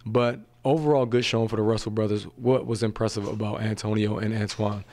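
A man hosting a post-fight interview at an indoor arena event, asking chatty, brisk questions into a handheld mic. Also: fairly low pitch, fairly even intonation, a little mellow. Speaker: sports interviewer.

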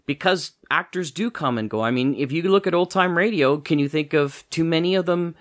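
A man's voice: fairly high pitch, brisk. A man talking casually as a podcast host and interviewer.

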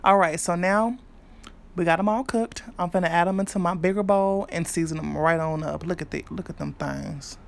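A woman's voice: lowish voice, moderate pace, vocal fry. Brash, foul-mouthed young woman cooking at home. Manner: brash, profane, chatty, speaks straight to viewers. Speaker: cooking vlogger. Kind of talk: casual cooking walkthrough. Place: home kitchen.

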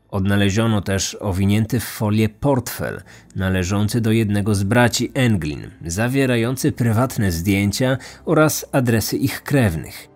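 A man's voice: medium pitch, a little mellow. Man narrating true crime over music. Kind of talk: scripted documentary narration.

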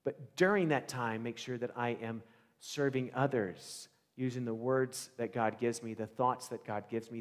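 A reverberant church sanctuary carries the voice of a middle-aged American man. His pitch sits a little high as he preaches conversationally, using rhetorical questions and dramatic pauses. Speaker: pastor. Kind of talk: sermon, conversational preaching to a congregation. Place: large church sanctuary, indoors, before a congregation.

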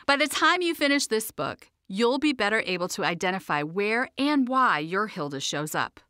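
A woman's voice: medium pitch, very animated, moderate pace, sharp tone, no room sound, studio quality. A woman narrating her own self-help audiobook as a coach, in a sassy, emphatic, conversational way.

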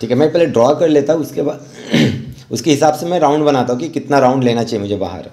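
A man's voice: medium pitch, fast talker, mellow. A man with an Indian accent giving an informal, encouraging step-by-step drawing tutorial as an art teacher. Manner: instructional, encouraging. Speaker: rangoli art teacher and channel host.

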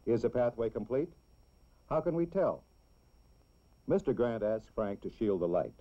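A man's voice: mid-range voice, big pitch swings. American guy doing 60s school film narration, explains stuff simply for kids, muffled lo-fi old soundtrack. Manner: simple, deliberate explaining for children. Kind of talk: scripted 1960s educational film narration.

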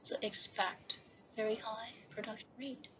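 A woman lecturing over slides for a university class, often checking in with "right?" and slowing down to read slide headings. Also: on the high side, steady tone. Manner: repeats "right?" and "okay?" as checks. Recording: dull lo-fi voice-over with faint hiss.

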